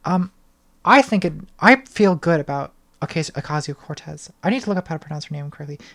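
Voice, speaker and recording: A woman's voice: low-pitched, animated, quick pace, gravelly. Young woman chatting hesitantly on a livestream. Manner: thoughtful, hesitant, many restarts and ums. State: voice getting hoarse. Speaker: streamer answering patron questions. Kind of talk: casual livestream Q&A. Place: small bedroom lit purple. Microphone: studio mic on arm, front of frame.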